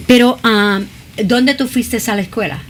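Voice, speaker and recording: A woman's voice: a little high, a little slow. A woman hosts a talk show interview, moving easily between Spanish and English. Her questions come loose and conversational, full of small fillers. A faint hiss sits under the voice. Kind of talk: bilingual interview.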